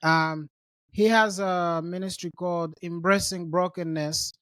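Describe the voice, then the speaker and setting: Friendly host from Rwanda, dry sound. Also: male voice, sits high, fairly even intonation, measured pace, fairly bright tone, dry recording. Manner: friendly, repeats words while thinking. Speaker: podcast host. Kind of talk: conversational interview.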